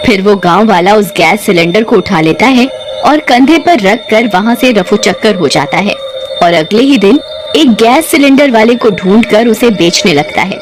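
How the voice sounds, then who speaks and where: A woman's voice: mid-range voice, expressive, normal speed, over music. A woman reading scripted narration for a cartoon ghost story.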